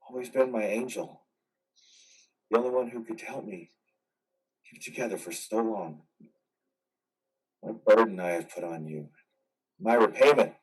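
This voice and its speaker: A man's voice: on the low side, slightly rough. Man acting an anguished soldier, muffled and distant. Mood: grieving, tender. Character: anguished, vengeful male war veteran soldier. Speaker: amateur actor. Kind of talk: dramatic play reading.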